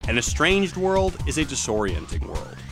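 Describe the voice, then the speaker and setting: Male lesson host, deliberate model reading, animated intonation, music bed. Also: mid-range voice. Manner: clear, deliberate drill delivery. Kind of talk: slow repeated model reading. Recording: music underneath.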